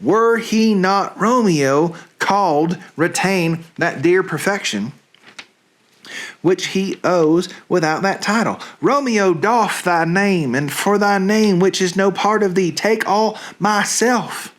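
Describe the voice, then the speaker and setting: A man playing a lovestruck young noblewoman, reading Shakespearean verse in a halting, theatrical way. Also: sits high. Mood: pleading, lovelorn.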